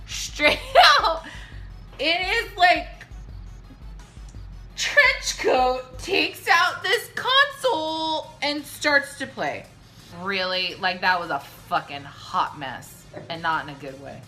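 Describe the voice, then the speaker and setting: A young Californian woman speaks in a high, very bright and forceful voice over faint music. She is tipsy and chatty, telling stories casually with brash slang, plenty of swearing and dramatic emphasis. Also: very animated, slow, low-quality recording. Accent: Californian American. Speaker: YouTube vlogger. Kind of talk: casual storytime Q&A.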